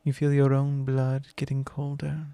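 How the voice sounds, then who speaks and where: A man voicing a possessive, commanding male vampire lord in an ASMR roleplay, speaking slow, low and intimate, often in a whisper. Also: monotone, warm tone, smooth, no echo.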